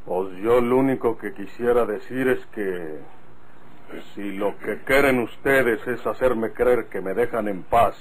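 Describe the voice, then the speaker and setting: An actor playing a proud, guarded rural bandit in an old radio drama, blunt and measured, with a northern Mexican accent. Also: male voice, on the low side, rough recording.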